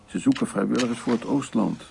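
An actor playing an older man, a brooding war survivor telling his memories in a radio drama with a gravelly, husky, halting delivery. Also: medium pitch, a crowd in the background. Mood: calm, explaining.

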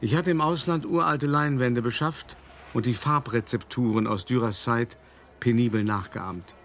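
An actor playing a cultured, self-satisfied male art forger, confessing in a measured, reflective, articulate radio drama monologue. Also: mid-range voice, fairly bright tone, close to an everyday voice. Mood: precise, proud. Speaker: first-person narrator.

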